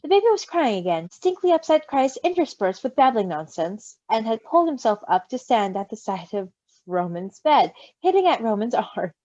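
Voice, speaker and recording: A woman with a fairly high, lively voice reads a story aloud and drops in gushing asides. Her delivery is very expressive and animated. The recording is close and dry but a little dull and lo-fi. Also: normal speed, dry recording. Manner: expressive, gushing, giggly reactions. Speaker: story reader. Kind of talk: animated read-aloud of fan fiction with casual asides.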